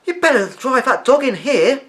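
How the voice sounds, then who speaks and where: A man voicing a cheerful, brisk, homely grandmother in a children's storybook. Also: very high-pitched, big pitch swings, fairly bright tone, pitched above the performer's own voice, full character voice.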